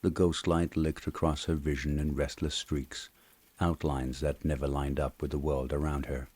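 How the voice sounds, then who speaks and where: A man with a husky voice narrating a novel as a scripted audiobook reading. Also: extremely low pitch, level tone, normal speed, darkish tone, no room sound.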